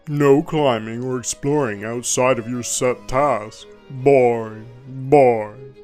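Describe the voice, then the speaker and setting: A man's voice: medium pitch, a little mellow. A man giving a dramatic reading of a review in clipped, emphatic phrases. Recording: music behind the voice. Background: background music.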